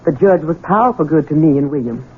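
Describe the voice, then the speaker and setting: A woman's voice: low voice, animated, fast talker, a little hiss or hum. A woman playing an anxious, deferential middle-aged housekeeper in a 1970s radio drama, humble and folksy. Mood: humble, guarded. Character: anxious, deferential older housekeeper, protective mother. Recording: tinny 1970s radio recording.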